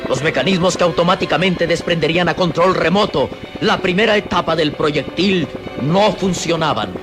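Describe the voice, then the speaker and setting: A man's voice: a little high, normal speed. A man narrating a radio serial from a script in a grave, emphatic, suspenseful way. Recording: old radio drama with loud music.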